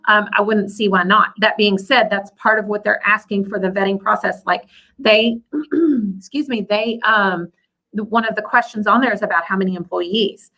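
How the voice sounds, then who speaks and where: A woman's voice: medium pitch, fairly even intonation, moderate pace, pushed and bright, poor audio. A woman guest explaining her field in a conversational interview, carefully qualifying her points as she goes. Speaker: guest, seller and coach.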